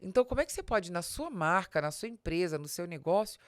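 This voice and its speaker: A woman's voice: fairly low pitch, expressive, quick pace, no echo, studio quality. A woman with a Brazilian accent giving an explanatory business talk as a podcast host, speaking straight to the listener in a didactic way with emphatic stresses.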